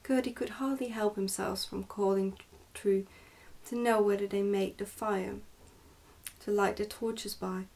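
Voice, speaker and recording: A woman reading a storybook aloud in a soft, careful, partly whispered ASMR style. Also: medium pitch, steady tone, unhurried, far from the mic.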